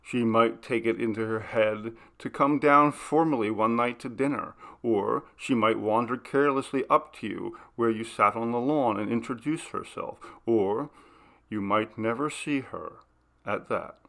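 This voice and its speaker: A man reading aloud, voicing an amused, courteous young gentleman in a ghost story. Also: medium pitch, measured pace, vocal fry. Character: young gentleman host, Paul, amused and mysterious.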